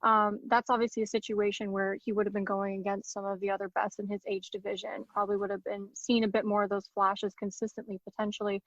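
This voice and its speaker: Fast-talking woman host on a dull line. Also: mid-range voice, little pitch movement, no echo. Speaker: podcast host. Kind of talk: casual podcast interview.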